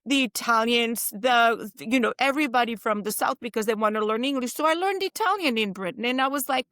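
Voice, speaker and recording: A woman of around sixty with a French accent, a native Spanish speaker raised in Spain and Portugal, telling stories as an interview guest in a lively, chatty way. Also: fairly high pitch, fairly quick, dry recording, clean studio sound. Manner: lively, chatty, acts out other people's lines. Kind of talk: casual storytelling in an interview.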